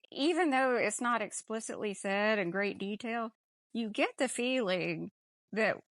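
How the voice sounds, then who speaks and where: A woman's voice: mid-range voice, fairly bright tone, no room sound, clean studio sound. A woman hosting a podcast, talking casually through a film discussion. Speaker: podcast host.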